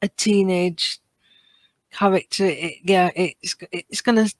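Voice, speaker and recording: A British woman in casual fan chat as a podcast co-host, halting as she thinks aloud. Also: lowish voice, no echo.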